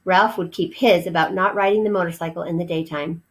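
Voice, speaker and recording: A woman's voice: lowish voice, fairly even intonation, fairly quick. A woman reading a children's storybook aloud as narrator, explaining things as if to young listeners.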